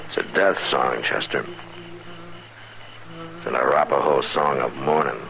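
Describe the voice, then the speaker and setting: An American man playing a stern, steady western marshal in a 1950s radio drama, speaking gravely and deliberately with authority. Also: extremely low pitch, heavily acted. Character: stern, steady male frontier lawman, a marshal. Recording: noisy vintage 1950s radio recording.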